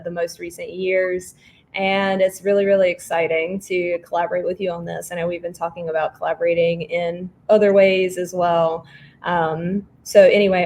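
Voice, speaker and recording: A woman with a fairly low voice hosts a panel discussion. She speaks conversationally as she introduces guests. There is faint noise on her video-call recording. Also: a little mellow. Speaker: host of an artists' panel.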